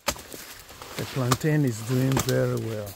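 Man, slow, gravelly, farm tour, outdoors, faint wind noise. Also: medium pitch. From Ghana. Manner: slow, plain, pointing things out. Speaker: farmer giving a farm tour. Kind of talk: casual walking tour narration. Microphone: phone held while walking.

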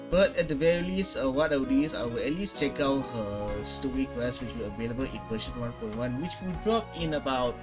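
A man's voice: mid-range voice, lively intonation, fairly quick, few pauses, loud music behind the voice. A young adult man giving casual reaction commentary as a gaming host, recorded indoors on a close mic. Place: small indoor room.

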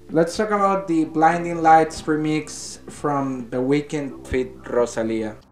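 A man's voice: on the high side, fairly even intonation, slow pace, background noise. Roomy-sounding young male Spanish-speaking reviewer. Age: 20s. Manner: informal, frequent swearing. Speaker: YouTube music reviewer. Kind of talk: casual opinionated video review. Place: small indoor room. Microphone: handheld condenser microphone held close.

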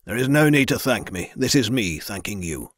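A man voicing a stern, powerful lord who speaks in a measured, formal way. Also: on the low side, sing-song, no room sound, clean studio sound.

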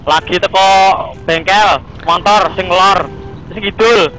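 A man's voice: high voice, takes it slowly, bright, forceful tone, rough recording. A man, chatting casually in short slangy replies over a motorbike intercom. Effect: motorbike intercom link. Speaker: riding companion on intercom. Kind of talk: casual chat. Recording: intercom link, faint vehicle noise.

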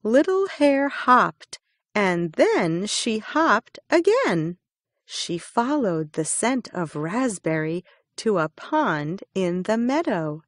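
A woman reading a children's picture book aloud, slow and expressive. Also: medium pitch, no echo, studio quality. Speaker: reader.

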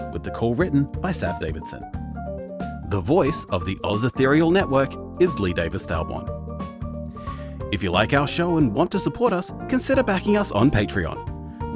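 A man reading scripted end credits as a show announcer. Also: medium pitch. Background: guitar music.

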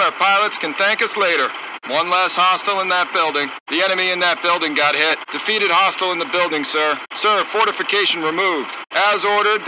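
An actor voicing a gung-ho American tank crew commander in a strategy game, terse and brisk, through a military radio filter. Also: male voice, sits high. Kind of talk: clipped military unit voice lines in a strategy game. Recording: dull radio sound with faint noise.

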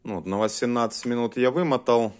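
A man giving casual hands-on commentary as a video host, talking haltingly in short muttered phrases. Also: mid-range voice. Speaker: host of a cassette deck test vlog.

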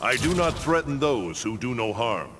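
An actor playing a stern, righteous thunder god warrior in a video game, solemn, formal and commanding. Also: male voice, mid-range voice, very animated, normal speed, close to an everyday voice. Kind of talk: video game character acting.

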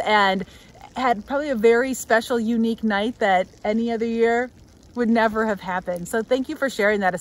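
A middle-aged woman giving upbeat, thankful church announcements outdoors by a snowy shore, filmed on a phone at arm's length. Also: medium pitch. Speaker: church education leader. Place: snowy lakeshore at dusk, outdoors.

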